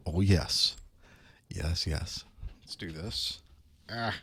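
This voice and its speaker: A man with a hoarse voice from a cold, chatting casually to his live stream audience while he sets up for an online class. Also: deep voice, fairly bright tone, a little hiss or hum. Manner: chatty, wry, talks to himself while setting up. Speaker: online course instructor. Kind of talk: casual pre-show chat to a live stream. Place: small studio desk.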